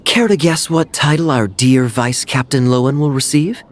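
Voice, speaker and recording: An actor playing a suave, teasing adult male knight in a game, smooth and given to chuckling. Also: medium pitch, moderate pace, close to an everyday voice. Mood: wry. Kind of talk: game cutscene voice acting.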